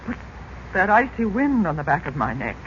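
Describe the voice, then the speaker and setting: An anxious middle-aged widow in a vintage radio play. Her delivery is animated and easily frightened, heard through a muffled recording with background noise. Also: lowish voice, moderate pace. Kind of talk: 1940s radio drama acting.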